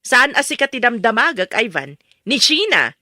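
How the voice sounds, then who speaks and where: A hurt, middle-aged mother in a radio drama. Her voice is bright, forceful and fairly high, with stern, emphatic reproach in the delivery. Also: big pitch swings, moderate pace, dry recording, clean studio sound. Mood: stern, insistent.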